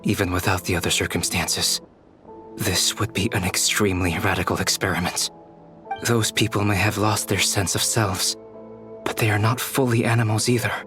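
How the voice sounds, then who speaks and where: An actor playing a composed, analytical young male researcher in a game, speaking in a measured, precise, serious way. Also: fairly low pitch, steady tone, over music, natural voice. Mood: grave, analytical. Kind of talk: dramatic game voice acting.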